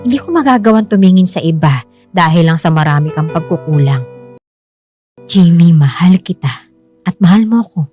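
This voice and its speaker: An actress playing a devoted, reassuring wife with a gentle, earnest manner and a Filipino accent in a radio drama. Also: female voice, lowish voice, lively intonation, moderate pace, pauses often, faint music behind, natural voice. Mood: firm, loving. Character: devoted, reassuring wife of a poor tricycle driver.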